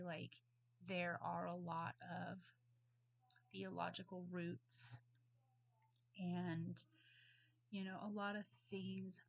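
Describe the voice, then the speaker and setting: A woman in her thirties recording a casual class response at home on her computer, hoarse from a cold, rambling and apologetic with many ums. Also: fairly low pitch, a bit bright, dry recording. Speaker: student. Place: at home on a bed. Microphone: computer mic.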